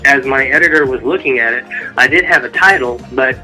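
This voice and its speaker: A man's voice: medium pitch, fairly quick, pushed and bright, soft background music, poor audio. A man from Dallas, Texas, answering interview questions as an author in an informal, loosely rambling way. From Dallas, Texas, United States. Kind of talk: casual radio interview answers.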